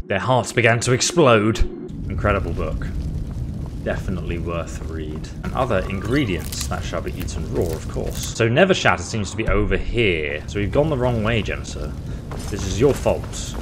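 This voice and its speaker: Guy with a lowish voice commentating his game, kinda theatrical and jokey, soundtrack playing behind. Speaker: gaming video host. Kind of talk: casual game playthrough commentary.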